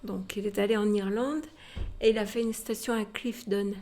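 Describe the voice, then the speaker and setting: A woman's voice: mid-range voice, fairly even intonation, normal speed, dark tone. Woman guide explaining history to visitors, chatty storytelling, talks right to you. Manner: explanatory, addresses listeners directly with rhetorical tags. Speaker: museum guide.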